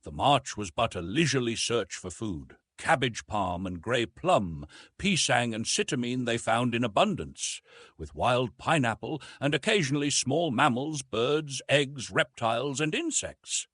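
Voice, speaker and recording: A man narrating an adventure novel as an audiobook, in a dramatic and expressive storytelling manner. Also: mid-range voice, measured pace, breathy edge, no room sound.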